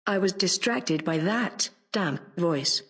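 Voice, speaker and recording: Weary, low-voiced female officer played by a woman. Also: lively intonation, takes it slowly, a bit bright, exaggerated voice. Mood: annoyed. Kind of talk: scripted audio drama.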